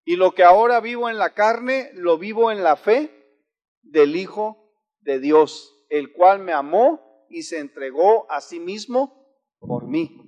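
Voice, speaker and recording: A man's voice: sits high, expressive, takes it slowly, darkish tone, dull audio. A man preaching a sermon in an earnest, exhorting manner, often restarting his phrases. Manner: earnest, exhorting, with frequent restarts and varied emphasis.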